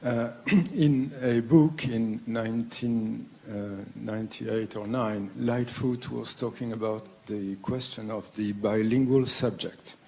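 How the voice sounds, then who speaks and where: A man in the audience asking a spontaneous question, halting with many fillers and self-corrections. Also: lowish voice, steady tone, a little mellow.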